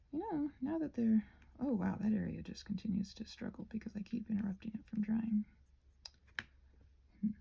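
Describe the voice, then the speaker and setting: A soft-spoken woman with a breathy voice that often nears a whisper, rambling casually and self-deprecatingly to herself as she crafts. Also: fairly high pitch. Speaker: craft and ASMR video creator.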